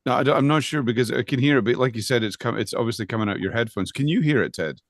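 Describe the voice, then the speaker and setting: A man's voice: medium pitch, very fast, no echo, clean studio sound. A man chatting and explaining casually on a video call, in a hesitant, stop-and-start way. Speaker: co-host.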